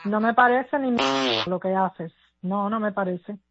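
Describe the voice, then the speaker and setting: A woman's voice: medium pitch, expressive, measured pace. A woman with a Cuban accent arguing on the telephone in a blunt, combative, informal way. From Cuba. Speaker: prank call recipient. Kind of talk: heated informal phone argument. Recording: muffled, noisy telephone line.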